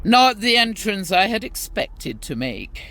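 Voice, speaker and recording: An actress playing a devout, zealous warrior nun commander in a video game, narrating in a solemn, formal, resolute manner. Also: female voice, medium pitch, big pitch swings, a little slow, fairly bright tone, poor audio. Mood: grimly zealous. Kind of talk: scripted game cutscene narration.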